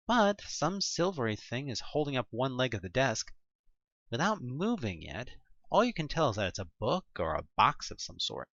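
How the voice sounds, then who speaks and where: Horror audio drama narrator, theatrical and very animated in pitch, forceful tone, dry and slightly dull recording. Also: no room sound. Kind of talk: dramatic scripted narration of an interactive horror story in second person.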